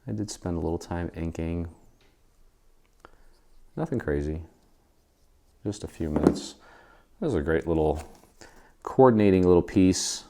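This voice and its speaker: An American man speaks in a low voice as he hosts a craft tutorial. His delivery is casual and easygoing, walking through each step informally. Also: unhurried, vocal fry, pauses often, noisy background. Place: indoor craft studio.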